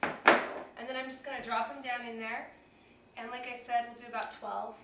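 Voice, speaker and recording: A woman hosting a casual home cooking demonstration, a little hoarse, talking chattily as she works. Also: fairly high pitch, level tone, normal speed, very noisy. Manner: chatty, friendly instructional tone. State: slightly hoarse. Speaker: cooking show host. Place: home kitchen. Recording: echoey kitchen, mic at a distance.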